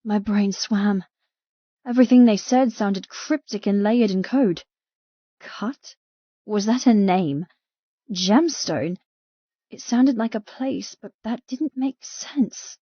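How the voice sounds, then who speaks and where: A woman's voice: mid-range voice, slow pace, dry recording, muffled recording. A woman narrating a dramatic first-person novel as a frightened captive. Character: frightened captive young woman telling her story. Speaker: audiobook narrator.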